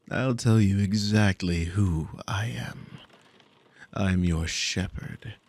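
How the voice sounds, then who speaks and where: A man's voice: low-pitched, darkish tone, full character voice. A man playing a dominant, possessive demon in an ASMR roleplay, mockingly amused and condescending, with teasing, formal, theatrical speech. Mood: smug, possessive. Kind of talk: ASMR audio roleplay spoken to the listener.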